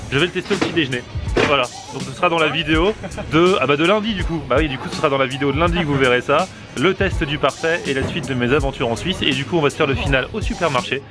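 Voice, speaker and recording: Young guy vlogging outside, kinda high voice, music loud behind him, chatty and excited. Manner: excited, chatty, laughs often. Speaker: food vlogger. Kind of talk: casual vlog chat with fans on the street. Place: busy city street outdoors.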